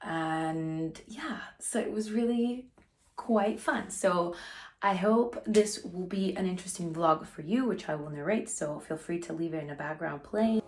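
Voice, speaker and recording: A woman in her thirties with a slightly raspy voice, chatting casually to camera as a vlogger, with frequent "like" fillers. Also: lowish voice, a little slow, slight background noise.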